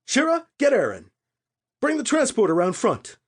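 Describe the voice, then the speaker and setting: A man voicing a menacing, short-tempered woman director who gives sharp, threatening orders in an audiobook story. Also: very high-pitched, wildly swooping pitch, normal speed, slightly rough, voice pushed up, exaggerated voice. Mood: angry, frantic.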